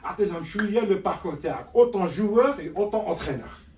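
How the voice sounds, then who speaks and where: Male interviewer, very rapid and eager, roomy distant sound. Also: sits high, expressive, a crowd in the background. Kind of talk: casual interview questions. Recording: echoey, distant, faint noise.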